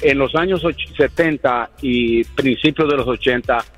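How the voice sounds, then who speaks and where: A man's voice: medium pitch, faint music behind, poor audio. A man in his sixties from Guatemala with a Guatemalan Spanish accent, calling a radio show and speaking in an earnest, reflective way. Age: 60s. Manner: earnest, reflective, measured storytelling. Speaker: caller. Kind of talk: phone-in radio call.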